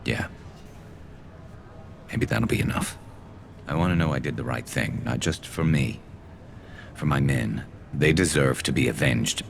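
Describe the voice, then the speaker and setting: A battle-weary alien soldier speaks in an extremely low, creaky voice over clearly audible ambient noise. He is a loyal rebel with a dry, measured wit, straight out of a sci-fi video game. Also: lively intonation, full character voice. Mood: brooding, conflicted.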